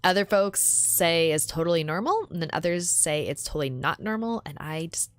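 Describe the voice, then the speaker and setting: A woman's voice: fairly low pitch, animated, moderate pace, no echo, soft background music. A woman from California chatting casually on a stream, playful and curious, laughing often.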